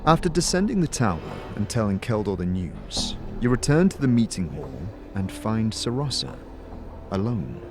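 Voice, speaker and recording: A man's voice: on the low side, big pitch swings, normal speed, over music. A man narrating a fantasy story dramatically in the second person, as a game master.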